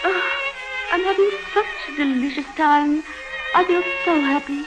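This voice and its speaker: A gushing, genteel lady played by a woman in a 1950s radio drama. Her voice is high and fluttery with effusive sentiment, and music plays behind her. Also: moderate pace, muffled recording, natural voice. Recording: old 1950s radio recording.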